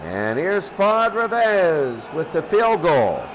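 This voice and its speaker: A man's voice: a little high, huge pitch swings, takes it slowly, a little hiss or hum, low-quality recording. A man doing football play-by-play as the announcer, often repeating his phrases. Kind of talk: amateur football play-by-play commentary.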